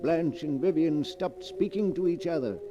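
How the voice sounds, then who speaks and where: A man narrating a 1940s radio drama in a measured, ominous way. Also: on the high side, background noise. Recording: dull old broadcast with music under.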